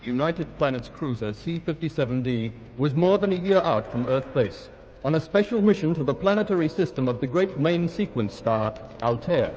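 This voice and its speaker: A man narrating a 1950s radio drama in a formal, measured scripted style on an old recording. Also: a little high, expressive, darkish tone. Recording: muffled, reverberant, noisy old radio recording.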